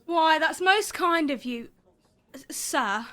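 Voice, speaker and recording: Lady doing a cheeky victorian tavern girl, teasing and defiant, high bright voice, stagey drama. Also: animated, slow pace, full character voice. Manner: saucy, bold, teasing. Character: cheeky, defiant Victorian tavern singer, young working woman. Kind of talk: theatrical period drama acting.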